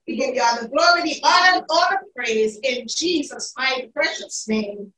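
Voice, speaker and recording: A woman leading a fervent spoken prayer at a church pulpit, impassioned and rising into shouts of praise. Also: sits high, moderate pace, a crowd in the background. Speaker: prayer leader. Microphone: pulpit mic, recorded by far camera. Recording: echoey church from far off.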